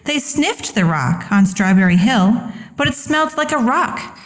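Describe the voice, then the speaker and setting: Female children's storyteller, unhurried read-aloud, highly melodic delivery, echoey room. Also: expressive. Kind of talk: expressive picture-book read-aloud.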